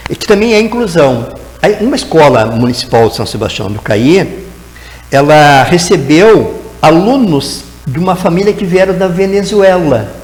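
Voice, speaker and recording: A man's voice: on the high side, very animated, moderate pace, a little mellow, echoey room, long reverb tail, low-quality recording. A man, a guest educator answering in a TV interview, explaining at length and tagging his sentences with "né?". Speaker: guest educator in teacher training.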